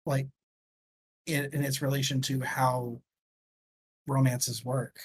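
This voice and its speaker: A man with a medium-pitched voice co-hosts a book podcast. He talks in a casual, conversational way. There is faint noise behind his voice. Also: little pitch movement, slow pace.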